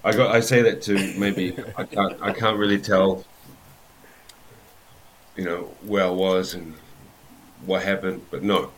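A man with a New Zealand accent, a rugby coach telling his life story as an interview guest, in an unhurried way with many pauses. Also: low voice, fairly even intonation, crowd noise, low-quality recording.

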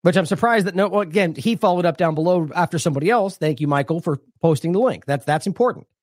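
A man's voice: sits high, fast talker, no room sound, studio quality. A man hosting an independent news show, commenting on clips in a discursive way and often correcting himself mid-sentence. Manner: discursive, self-correcting, reads quotes aloud. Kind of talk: commentary over played clips.

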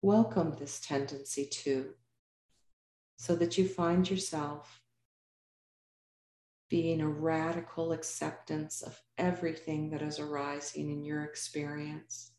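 A woman leading a guided meditation as a yoga nidra teacher, speaking calmly in measured, unhurried phrases with pauses. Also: sits low, reverberant, a little hiss or hum.

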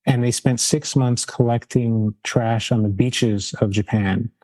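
A man's voice: medium pitch, fairly even intonation, a little slow, darkish tone, low-quality recording. A man hosting a casual interview, talking in long rambling sentences with restarts. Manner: rambling, many restarts and trailing phrases. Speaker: interview host.

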